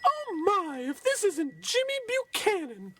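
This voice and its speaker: A woman's voice: very high-pitched, huge pitch swings, unhurried, slight background noise, exaggerated voice. A woman playing a gleefully grateful, pious old nun in a parody old-time radio play, chirpy and folksy. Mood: delighted.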